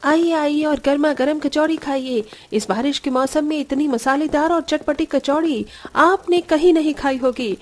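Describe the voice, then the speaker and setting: Woman acting earnest young mother, fairly high voice. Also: normal speed, a little mellow, natural voice. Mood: calling out. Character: poor, hardworking young widowed mother, earnest and caring. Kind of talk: cartoon story dialogue.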